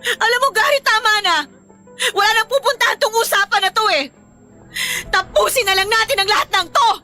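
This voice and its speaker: A betrayed young bride-to-be with a Filipino accent. Her voice is extremely high and sharp, full of melodramatic hurt and accusation, as heard in a radio love drama with faint music underneath. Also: a little fast. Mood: hurt, bitter. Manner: melodramatic, emotional, pleading and accusing. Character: betrayed, tearful young fiancée, hot-tempered and wounded.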